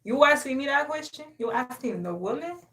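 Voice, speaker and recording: A woman's voice: sits high, lively intonation, fairly quick, fairly bright tone, a little hiss or hum. A young woman from Haiti chatting casually on a live stream, sassy, boastful and playful, often laughing. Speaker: livestreamer.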